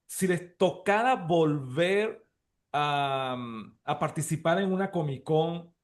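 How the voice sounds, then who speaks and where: A man's voice: on the high side, slow pace. A man hosting a casual group conversation and putting questions to the others. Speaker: host. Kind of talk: casual panel moderation.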